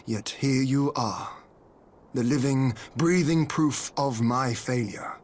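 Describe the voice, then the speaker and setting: Grave noble lord, medium pitch. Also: male voice, darkish tone. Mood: stern, regretful. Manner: grave, deliberate, formal. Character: stern, sorrowful noble lord, judging and remorseful. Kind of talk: video game cutscene acting.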